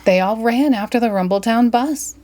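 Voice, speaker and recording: A woman reading a children's picture book aloud in an expressive, dramatic storytelling style. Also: on the high side, normal speed. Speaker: storybook reader.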